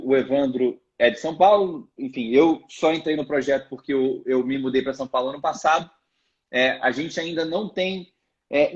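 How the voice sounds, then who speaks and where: A man speaking as a guest in a live online interview, explaining his views hesitantly with many restarts. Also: fairly high pitch. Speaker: guest, financial education project member. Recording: muffled video call audio.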